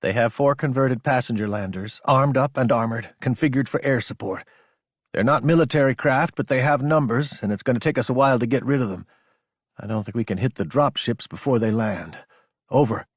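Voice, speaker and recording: A man's voice: mid-range voice, fairly quick, breathy edge, no room sound, studio quality, close to an everyday voice. A man voicing a businesslike female mech pilot giving clipped military radio reports in an audiobook. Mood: brisk, businesslike. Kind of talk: audiobook character dialogue.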